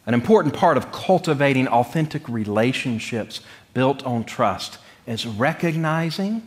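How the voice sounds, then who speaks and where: A middle-aged man from North Carolina, preaching in a conversational, humorous storytelling way with deliberate pauses. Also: mid-range voice. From North Carolina, United States. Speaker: pastor. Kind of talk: sermon with personal storytelling. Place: church sanctuary, speaking from the pulpit.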